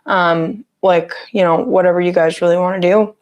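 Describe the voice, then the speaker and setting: A woman with a raspy voice, sick with a cough, chatting casually on a livestream from her home office on a lapel mic and greeting viewers by name. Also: fairly low pitch, steady tone, normal speed, a little mellow, dull audio. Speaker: livestream host. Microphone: lapel mic on her shirt.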